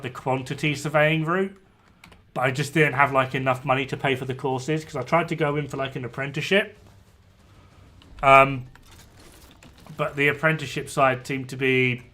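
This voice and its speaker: A man's voice: mid-range voice, fairly even intonation, moderate pace, long pauses, sharp tone. A man, chatting casually while streaming a game, informal and blunt, with some swearing. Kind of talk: casual gameplay chat with other players. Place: indoors at a gaming PC.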